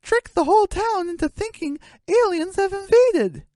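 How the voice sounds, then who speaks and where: A woman voicing an excitable, giggly, mischievous young chicken prankster in a comic radio play. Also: extremely high pitch, normal speed, no room sound, studio quality, pitched above the performer's own voice. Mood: giggly, excited.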